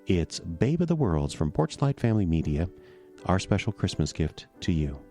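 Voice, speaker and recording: A middle-aged man hosting a radio theater show and introducing the episode in a relaxed, casual way with frequent fillers. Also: low voice, normal speed, faint music behind.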